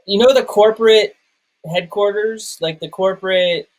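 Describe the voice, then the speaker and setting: Male film show host, high-pitched voice, conversational, halting, thinking aloud. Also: poor audio. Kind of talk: casual film discussion.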